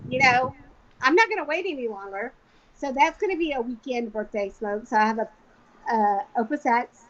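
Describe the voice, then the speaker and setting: Woman in her forties, chatty and blunt, higher voice, dull lo-fi audio with steady noise. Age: 40s. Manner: candid, animated, lots of "like" and swearing. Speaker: co-host. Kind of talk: casual chat.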